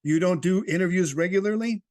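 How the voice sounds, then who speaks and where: A man's voice: high-pitched, brisk, a little mellow, dry recording, clean studio sound. A man conducting a conversational interview, speaking in an encouraging, jokey and teasing manner.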